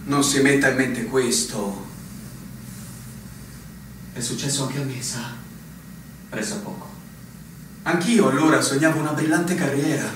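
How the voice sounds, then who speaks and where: An actor playing a resigned, wistful older army captain in a radio drama, with theatrical swells. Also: male voice, medium pitch, unhurried, long pauses, very echoey, recorded from a distance, background noise, lightly acted. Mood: placating.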